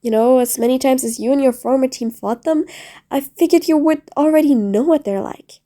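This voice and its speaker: A woman's voice: high voice, brisk, mellow, close to an everyday voice. A woman playing a mean but patient supervillain in an audio roleplay, sarcastic, dry and exasperated, speaking straight to the listener. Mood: dry, reflective. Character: mean but patient female supervillain, sardonic, grudgingly soft.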